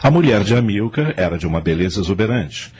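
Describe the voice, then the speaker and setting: A man's voice: lowish voice, big pitch swings, fast, darkish tone, husky. A man narrating a radio drama from a script in a storytelling role. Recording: music under the voice.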